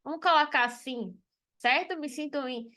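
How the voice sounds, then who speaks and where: A woman's voice: high-pitched, normal speed, sharp tone. A woman from Salvador, an accountant teaching a live payroll class, talking frankly and chatting with her students. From Salvador, Bahia, Brazil. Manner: frank and chatty, with frequent tag questions.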